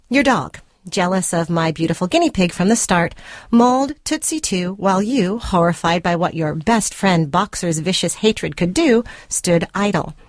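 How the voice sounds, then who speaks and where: A woman's voice: mid-range voice, no echo, natural voice. Woman acting a prim, controlling spurned girlfriend, dry close sound. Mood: falsely soothing. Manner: prim, clinical, precisely worded, condescending. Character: controlling, self-righteous spurned girlfriend dictating a formal letter. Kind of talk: dramatic short-story reading for radio. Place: radio studio.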